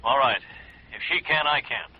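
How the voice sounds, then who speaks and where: An actor playing a wary, stubbornly brave witness in a radio drama, terse and guarded. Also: male voice, medium pitch, moderate pace, breathy edge, close to an everyday voice. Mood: guarded, resolute. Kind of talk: 1950s radio drama acting. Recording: dull vintage radio recording.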